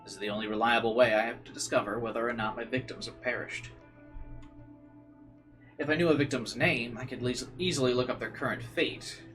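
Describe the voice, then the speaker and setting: A man with a mid-pitched voice reads a visual novel aloud in a casual let's play style. He mixes narration with informal asides and stumbles now and then. Faint music plays behind him. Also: steady tone, a little fast, a bit bright. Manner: informal asides, occasional stumbles while reading.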